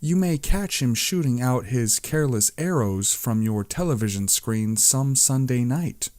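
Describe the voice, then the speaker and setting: Guy reading an audiobook real slow, very dry close sound. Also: medium pitch, animated, a little mellow, dry recording. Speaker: audiobook reader. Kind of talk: scripted narration of an astrology book.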